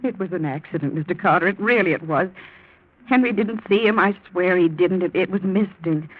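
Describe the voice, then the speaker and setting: A woman's voice: on the low side, a little fast, lightly acted. An actress playing an earnest, protective young woman in love, in 1940s radio drama style. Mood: distressed. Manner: earnest, insistent. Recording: muffled old 1940s radio recording.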